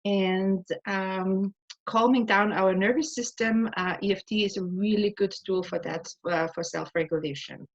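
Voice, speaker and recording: A woman from Austria hosting and guiding a tapping session, speaking calmly with frequent fillers. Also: mid-range voice. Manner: calm, measured, with many fillers. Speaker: host, EFT practitioner. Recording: slightly dull lo-fi audio.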